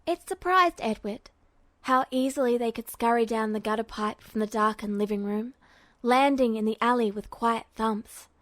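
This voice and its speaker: A woman's voice: a little high, moderate pace, a bit bright, no echo. A woman reading a scripted fan fiction story as narrator.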